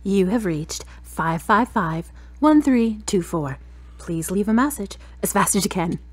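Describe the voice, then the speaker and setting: Casting: actress as answering machine, very slow with animated pitch, comic automated greeting. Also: female voice, slightly rough, no room sound. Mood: mechanical, comic. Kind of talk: audio drama comic bit.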